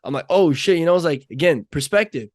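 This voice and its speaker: A man's voice: fairly high pitch, expressive, fast, no room sound. Young male podcast host, from Nepal, casual and rambling, dry close sound. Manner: rambling, many fillers and restarts. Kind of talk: casual conversation.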